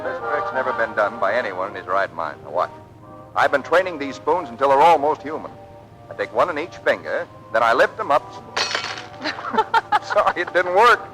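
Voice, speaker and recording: A man's voice: mid-range voice, moderate pace, over music, close to an everyday voice, poor audio. A man with an American accent acting a jaunty, wisecracking suitor in a vintage radio drama. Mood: joking, breezy. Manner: breezy, joking, animated.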